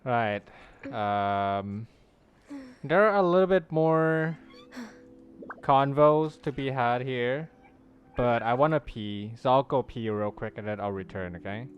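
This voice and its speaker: A man's voice: mid-range voice, slow pace, pauses often, dull audio, a little hiss or hum. A man livestreaming a game, chatting casually and greeting viewers in a friendly way. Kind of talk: casual chat with stream viewers, reading chat aloud. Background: soft background music.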